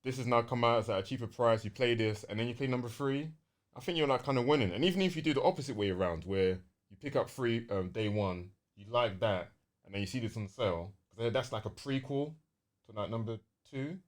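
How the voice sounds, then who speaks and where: A man's voice: medium pitch, a little fast. A man, explaining things loosely and casually as co-host of a gaming podcast.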